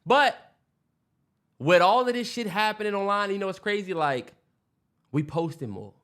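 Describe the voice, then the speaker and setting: A man's voice: sits high, expressive, normal speed, a bit bright. A young man co-hosting a podcast, talking loosely with profanity and lots of restarts. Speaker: podcast co-host. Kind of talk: casual chat.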